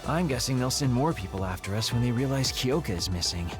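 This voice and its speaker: A man's voice: medium pitch, animated, fast talker, music in the background, lightly acted. A man playing a glib, teasing young man under suspicion in an English video game dub, flippant and wry. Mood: mock hurt, pragmatic.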